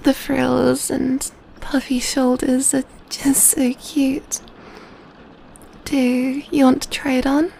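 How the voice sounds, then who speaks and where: A woman playing a gentle, doting female vampire in an ASMR roleplay, speaking softly and tenderly into a close mic, often near a whisper. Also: high voice, level tone, takes it slowly, gravelly, a little hiss or hum, full character voice, poor audio. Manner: tender, cooing, soothing, often dropping to whispers. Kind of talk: ASMR roleplay, soft intimate speech.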